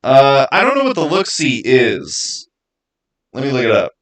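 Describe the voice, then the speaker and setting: A man's voice: medium pitch, wildly swooping pitch, measured pace, fairly bright tone. A man chatting casually to viewers on a livestream, rambling and repeating words for emphasis. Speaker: YouTube creator and livestream host. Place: small home studio, indoors.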